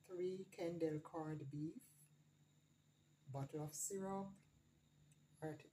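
Low, slow-talking Jamaican woman. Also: mellow, slight background noise, rough recording. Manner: listing items one by one, unhurried. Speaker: vlogger. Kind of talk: casual grocery haul narration.

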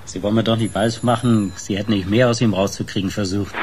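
Low-voiced sardonic male investigator played by an actor. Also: steady tone, fast talker, a little mellow, slight background noise, lightly acted. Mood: insistent, probing. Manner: teasing, insistent. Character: sardonic, dogged male investigator pressing for answers. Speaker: actor in a radio crime drama. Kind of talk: German radio crime play dialogue.

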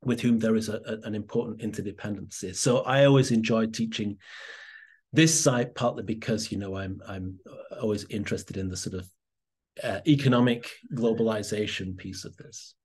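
A man's voice: lowish voice, moderate pace, a little mellow, breathy edge. A man giving an academic talk on a video call, hesitant, with many fillers and restarts. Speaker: presenter.

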